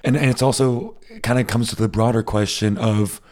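An American man co-hosting a podcast, chatting casually and quickly, often repeating his words. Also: lowish voice, fairly even intonation, darkish tone. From United States. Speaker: podcast co-host. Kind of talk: casual chat.

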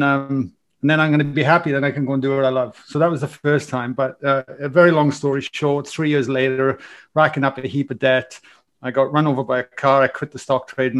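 Welsh guy in his 40s on a podcast, rambling, restarts a lot, mid pitch. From Wales. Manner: animated, rambling, many restarts. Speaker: podcast guest. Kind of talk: casual storytelling interview.

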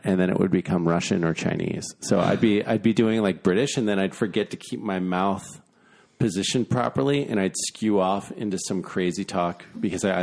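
A man's voice: low voice, creaky, a little mellow. A man and former actor chatting casually, rambling and restarting his sentences often. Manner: rambling, self-interrupting, frequent restarts. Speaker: podcast guest and former actor. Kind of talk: casual chat.

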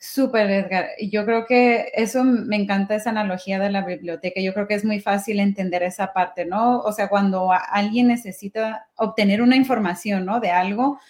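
A woman's voice: medium pitch, steady tone, normal speed, few pauses, darkish tone. A young adult woman speaking as an interview host, conversational and engaged, often adding short tags that seek agreement.

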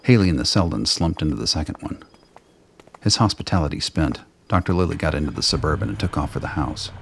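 Extremely deep, gravelly male narrator. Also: animated, fast talker, slight background noise. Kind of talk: scripted radio play narration.

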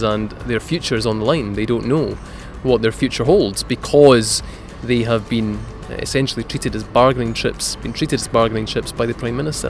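A man's voice: medium pitch, vocal fry. A man who grew up in Scotland, giving earnest political answers as a candidate in an interview. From born in Italy, raised in Scotland from age seven. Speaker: parliamentary candidate.

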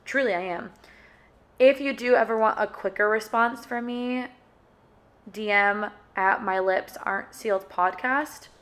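Young woman podcaster, sore throat, a bit hoarse, chatting casually like talking to a friend, middle pitch. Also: unhurried, fairly bright tone. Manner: chatty and friendly, with many "uh" and "like" fillers. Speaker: podcast host. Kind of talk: casual solo podcast chat.

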